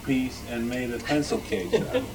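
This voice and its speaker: A man's voice: medium pitch, very animated, a little fast, gravelly, over a noisy crowd. A man giving an informal show-and-tell talk as a club member presenting items from a collection. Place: club meeting room with members behind. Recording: distant mic, noisy room.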